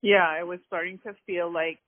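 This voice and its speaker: A woman's voice: on the low side, fairly even intonation, moderate pace, no echo. A woman interviewing someone by phone, friendly and informal with a lot of fillers. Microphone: telephone. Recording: tinny phone line.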